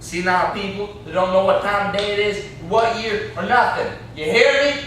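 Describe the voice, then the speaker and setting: A man's voice: high-pitched, moderate pace, a bit bright. A young man playing an indignant, blustering country captive in an amateur stage play, with a folksy, complaining, threatening way of talking. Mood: angry, scolding. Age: young adult. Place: classroom-like room, indoors. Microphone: far camera. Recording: echoey room, distant camera mic, faint noise.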